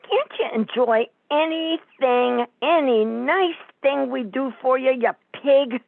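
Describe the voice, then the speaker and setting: A woman's voice: high-pitched, slow, creaky, slight background noise, exaggerated voice. Woman playing brassy mob wife, tinny phone-like sound. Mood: scornful. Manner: sarcastic, nagging, slangy. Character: brassy, scolding middle-aged mobster's wife and mother. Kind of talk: amateur comic radio play.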